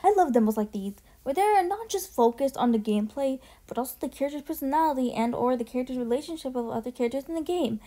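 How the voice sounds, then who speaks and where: A young woman giving casual, gushing ranking commentary in a voice-over, slangy and quick to swear. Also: high-pitched, lively intonation, dark tone. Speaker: video essay host. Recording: music behind the voice. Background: music.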